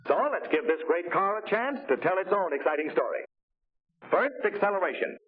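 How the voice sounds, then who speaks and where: A man's voice: sits high, expressive, brisk, husky. An actor playing an enthusiastic car salesman in a polished, declamatory 1940s promotional film narration. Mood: boastful, enthusiastic. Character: enthusiastic male car salesman showing off luxury models. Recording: tinny, noisy 1940 film soundtrack.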